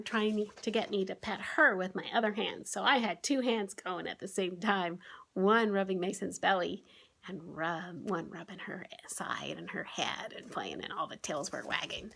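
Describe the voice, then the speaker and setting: A woman's voice: medium pitch, creaky, fairly bright tone. A woman hosting story time for children, talking through a picture book and often putting simple questions and exclamations to her young listeners. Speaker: children's author and online story-time host.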